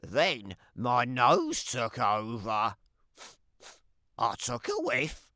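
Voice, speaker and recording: A woman voicing a sly, hungry, wry male wolf who tells his own tale in an animated children's read-aloud, with dramatic emphasis and playful asides. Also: extremely low pitch, drawn-out words, dry recording, studio quality, heavily acted.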